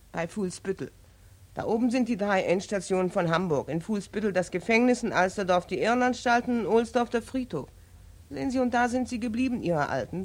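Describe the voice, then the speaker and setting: A woman's voice: mid-range voice, a little mellow, natural voice. An actress playing a callous female tenant, curt, mocking and matter-of-fact, in 1940s radio drama style.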